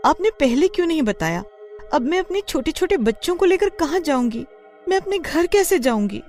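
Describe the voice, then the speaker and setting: Woman as protective, worried mother in an audio horror tale; animated, fretful delivery. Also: high-pitched, normal speed, soft background music, voice pushed up. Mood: upset, protesting. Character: anxious, protective young mother of two.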